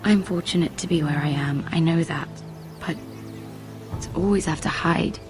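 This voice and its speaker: An actress playing a genteel young handmaiden in a video game, confiding and somewhat hesitant. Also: female voice, deep voice, moderate pace, lightly acted. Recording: faint music behind.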